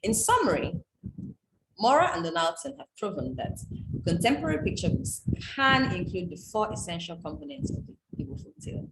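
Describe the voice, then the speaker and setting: A woman from Nigeria, reading a prepared talk on folktales in a measured, explanatory way. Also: a little high, sing-song. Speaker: writer presenting on folktales. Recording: echoey room with background noise.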